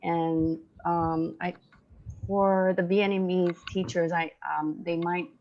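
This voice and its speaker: A woman's voice: on the low side, flat delivery, slow, slight background noise. A woman from Taiwan with a Taiwanese accent, native in Chinese, speaking hesitantly in an informal online discussion among educators over dull call audio. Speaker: online teacher-training participant and educator. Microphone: online video call.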